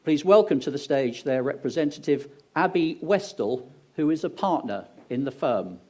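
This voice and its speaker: English guy hosting an awards night on stage, mellow tone, cracks little jokes at the crowd. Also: on the high side, lively intonation, normal speed. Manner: upbeat, joking asides to the audience. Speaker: awards host. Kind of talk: announcing at an awards ceremony. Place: event venue on stage.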